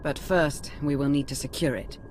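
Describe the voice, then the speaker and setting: A woman's voice: deep voice, steady tone, moderate pace, a little mellow, slight background noise, natural voice. A woman playing a cool, capable sci-fi field agent in a video game, composed, precise and serious. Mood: grave. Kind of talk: video game cinematic acting.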